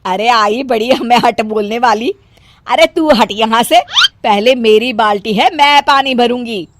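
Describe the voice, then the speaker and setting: A woman's voice: a little high, unhurried, slight background noise, natural voice. A woman voicing a brash, quarrelsome village woman in a cartoon story.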